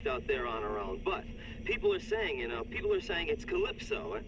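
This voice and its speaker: An actor playing an insistent, rambling radio caller, heard through a small radio speaker in a game. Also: male voice, mid-range voice, very animated, a little fast. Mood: conspiratorial. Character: earnest, agitated male radio call-in caller. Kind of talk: acted radio call-in in a game. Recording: muffled, noisy small radio.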